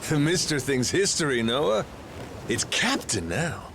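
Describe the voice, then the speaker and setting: An actor voicing a brash, playful and encouraging pirate captain in a video game, with theatrical delivery. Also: male voice, fairly high pitch, big pitch swings, unhurried, vocal fry, fairly bright tone, a little hiss or hum, full character voice.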